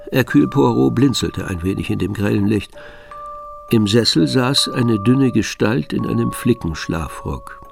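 A composed storyteller narrates a detective radio play over music. His voice is fairly low with a creaky grain, and his delivery is measured and precise. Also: darkish tone.

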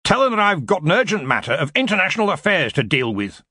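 A man's voice: big pitch swings, fast, sharp tone, husky, no echo, clean studio sound, exaggerated voice. A man voicing an old, imperious university wizard in an audiobook, with a weighty, commanding manner. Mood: blustering, defiant. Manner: booming, imperious. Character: old blustering male wizard, head of a university.

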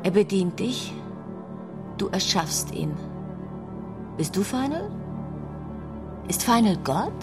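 A woman's voice: medium pitch, big pitch swings, slow, long pauses. A woman acting a spy's sensual lover in a German crime radio play, languid, intimate and probing. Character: sensual, questioning female lover of a spy. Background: music.

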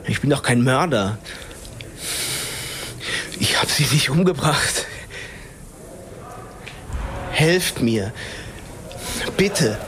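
A desperate accused man in a radio thriller. He pleads slowly and haltingly in a medium-pitched voice, with faint background noise. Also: animated, a bit bright. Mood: desperate pleading. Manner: halting, imploring. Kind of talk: modern radio drama acting.

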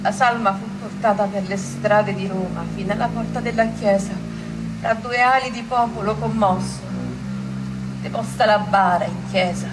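A woman's voice: medium pitch, fairly even intonation, normal speed, over loud music, natural voice, low-quality recording. A woman playing an earnest Roman townswoman giving theatrical eyewitness testimony of a revolution. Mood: solemn recollection. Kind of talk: theatrical first-person testimony.

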